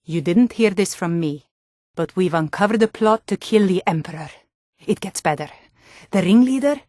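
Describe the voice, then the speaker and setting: A woman's voice: lowish voice, lively intonation, moderate pace, a little mellow, dry recording, clean studio sound, close to an everyday voice. A woman voice actor playing a tough, wary female Nord city guard in a fantasy video game, with blunt, colloquial delivery. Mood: conspiratorial. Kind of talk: scripted fantasy game dialogue in short standalone lines.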